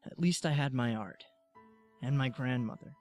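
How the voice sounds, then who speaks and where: A young actor playing a brooding, guarded and bitter teenage artist in a naturalistic web drama. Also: male voice, medium pitch, normal speed, darkish tone, soft background music, close to an everyday voice. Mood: troubled, resigned.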